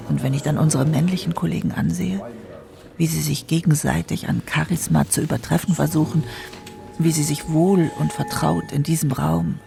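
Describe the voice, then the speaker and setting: A woman's voice: low voice, creaky. An actress playing a wry female delegate who whispers her observations about her male colleagues. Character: female delegate, middle-aged, sharp-eyed, wry.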